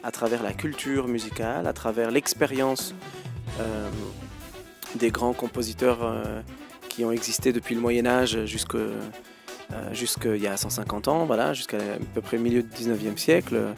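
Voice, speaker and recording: Gravelly man answering with many restarts. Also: medium pitch, steady tone, moderate pace, over music. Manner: thoughtful, hesitant with many fillers and restarts. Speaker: guest musician. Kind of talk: interview answers.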